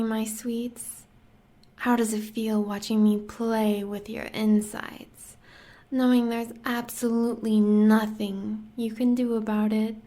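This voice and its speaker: A woman's voice: mid-range voice, vocal fry, background noise. An American woman playing a sadistic, seductive huntress villain in an audio roleplay, taunting and savoring her words, often in a whisper. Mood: sweetly taunting.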